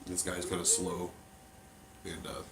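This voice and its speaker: A man's voice: low voice, completely flat delivery, unhurried, gravelly, a loud crowd. A man with a cold, sounding stuffed up, chatting casually through a mask unboxing with lots of fillers. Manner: offhand, rambling, many fillers. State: congested from a cold. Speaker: mask collector reviewing a mask. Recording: loud music playing behind the voice. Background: loud music from a movie playing.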